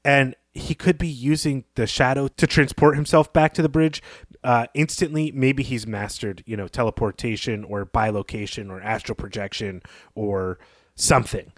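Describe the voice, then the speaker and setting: A man co-hosting a podcast, talking through literary analysis in a conversational, measured and analytical way. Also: medium pitch, steady tone, no room sound, studio quality. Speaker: podcast co-host.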